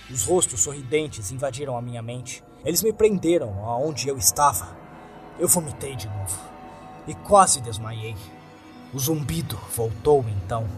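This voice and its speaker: A man with a Brazilian Portuguese accent narrating a first-person horror story in a dramatic scripted style. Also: a little high. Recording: faint music under the voice. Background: soft background music.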